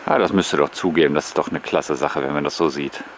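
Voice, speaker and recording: Guy vlogging on a bike ride, really low voice, chatty and relaxed, noisy outdoor sound. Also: fast. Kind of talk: casual running commentary to viewers. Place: outdoors on rural paths and waterside. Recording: noisy outdoor recording with passing vehicles.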